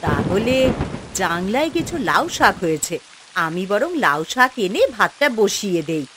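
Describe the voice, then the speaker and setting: A woman's voice: medium pitch, big pitch swings, moderate pace, fairly bright tone, a little hiss or hum. A woman voicing an anxious, caring village wife and mother in a cartoon, plaintive and fretful. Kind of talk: Bengali fairy-tale cartoon dubbing.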